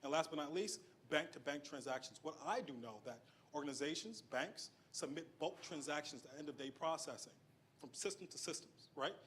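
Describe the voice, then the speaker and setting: A man explains use cases in a startup pitch, laying them out point by point and checking in with the crowd. His pitch runs a little high, and faint ambience sits behind him. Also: a little fast, a bit bright, a little hiss or hum. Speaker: startup team member pitching. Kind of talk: presentation pitch.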